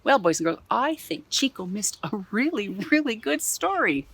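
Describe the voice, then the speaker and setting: An animated woman storyteller reading a picture book aloud to children, dropping chatty asides between pages. Her pitch rises and falls all over the place. The recording is close and dry. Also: moderate pace, breathy edge, no echo. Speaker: museum storyteller.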